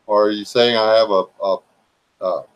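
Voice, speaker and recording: A man with a drawl chats with his live stream viewers, wandering from one story to the next. His voice sits fairly low and moves slowly and evenly, so calm that it can sound either soothing or dull. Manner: slow, drawling, low-key voice that viewers call relaxing or boring. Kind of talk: rambling casual live chat.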